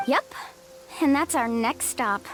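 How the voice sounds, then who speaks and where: A woman's voice: high-pitched, expressive, slow pace, noisy background. A woman voicing a confident, upbeat young female guide in a video game, who speaks firmly and with conviction. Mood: determined. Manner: bright, confident, firm. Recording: game audio with faint music.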